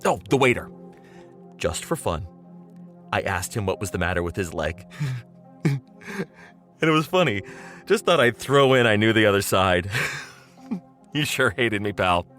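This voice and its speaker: Low-voiced, animated, menacingly chummy old pal. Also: male voice, unhurried, pauses often, soft background music. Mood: reminiscing, needling. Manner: conversational, rambling, chuckling, pointedly familiar. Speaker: actor in an audio drama. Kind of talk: audio drama monologue spoken directly to the listener as an old friend.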